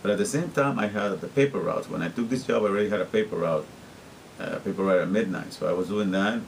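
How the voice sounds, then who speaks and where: A man's voice: deep voice, quick pace, gravelly, a little hiss or hum, low-quality recording. A middle-aged man from Guatemala, talking earnestly and repetitively in a rambling personal monologue, often restarting his sentences. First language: Spanish. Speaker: vlogger.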